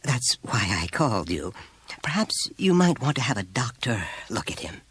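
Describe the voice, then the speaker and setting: A man's voice: medium pitch, sing-song, normal speed, a bit bright, breathy edge, pitched above the performer's own voice, full character voice. A man voicing an anxious, polite woman schoolteacher with a hesitant, delicate and apologetic manner. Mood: firm, careful.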